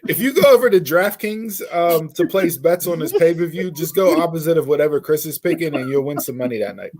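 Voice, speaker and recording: A man's voice: fairly high pitch, lively intonation, fairly quick, darkish tone. Opinionated man chatting on a podcast. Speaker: podcast co-host. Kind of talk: casual wrestling predictions chat.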